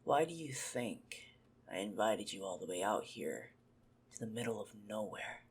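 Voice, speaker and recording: A man playing a teasing, menacing, possessive vampire in an ASMR roleplay, mocking and condescending, with a husky, breathy, gravelly voice that often drops to a whisper. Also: on the low side, animated, moderate pace.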